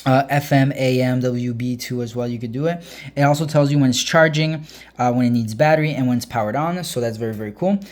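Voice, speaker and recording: A man giving a casual hands-on product review as a video host, explaining informally with frequent hesitant uh pauses. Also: mid-range voice.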